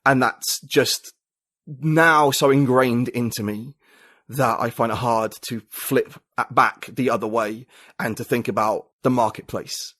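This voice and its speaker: A man's voice: mid-range voice, steady tone, a little slow, a bit bright, no room sound, studio quality. A man, a writer and podcaster, talking as an interview guest, reflective and thinking aloud with many fillers. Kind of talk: casual interview conversation.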